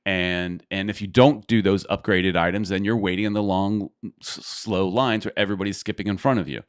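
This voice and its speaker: A man's voice: low voice, fairly even intonation, a little fast, smooth, no room sound, clean studio sound. A man hosting a podcast, chatting casually and rambling as he thinks aloud. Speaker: podcast host. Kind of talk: casual chat.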